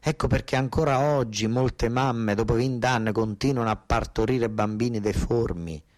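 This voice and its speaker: A male stage storyteller with a southern Italian accent and a middle-pitched voice. He delivers a monologue in everyday, colloquial speech, hammering points home by repeating them. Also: moderate pace. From Basilicata, southern Italy. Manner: colloquial, insistent, repeating phrases for emphasis. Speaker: actor-storyteller. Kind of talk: narrative theatre monologue.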